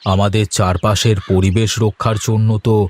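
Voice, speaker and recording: A man's voice: fairly low pitch, level tone, moderate pace, slight background noise, lightly acted. A man voicing an admonishing, plain-spoken village father in a cartoon. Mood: gently instructing. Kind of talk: cartoon dialogue acting.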